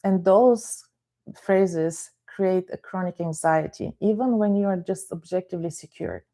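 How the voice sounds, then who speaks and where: A middle-aged woman from Bulgaria, a therapist and life coach giving a calm, explanatory live-streamed talk and greeting viewers by name. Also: on the low side, unhurried.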